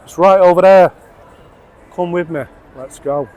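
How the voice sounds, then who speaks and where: Tipsy Mancunian bloke in his forties, slightly higher voice, sweary chatty pub-crawl vlogger on a phone outdoors, music and chatter faint behind. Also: measured pace, a little mellow. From England. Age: 40s. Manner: chatty, swears freely, jokey asides. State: tipsy from beer. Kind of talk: casual walking vlog. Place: outdoors on city streets and a busy rooftop bar.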